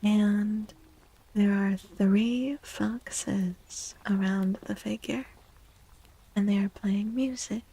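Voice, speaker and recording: Female ASMR tarot host, breathy and half-whispered, unhurried and gentle, with a mellow tone. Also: mid-range voice, steady tone, rough recording. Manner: gentle, slow, deliberate with pauses. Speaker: livestream host and tarot reader. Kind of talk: soft-spoken ASMR tarot reading.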